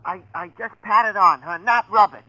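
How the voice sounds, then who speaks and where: High, piercing young bellboy. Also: male voice, moderate pace, bright, forceful tone, dry recording, full character voice. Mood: plaintive. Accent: American. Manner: plaintive, gee-whiz wisecracking. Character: cheeky, whiny young hotel bellboy. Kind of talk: 1940s radio commercial acting. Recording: noisy vintage 1940s radio broadcast.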